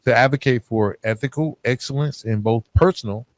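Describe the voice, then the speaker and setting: A man's voice: mid-range voice, fairly quick. A man hosting a podcast interview in a casual, chummy, conversational way. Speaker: podcast host interviewing a guest. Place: living room at home.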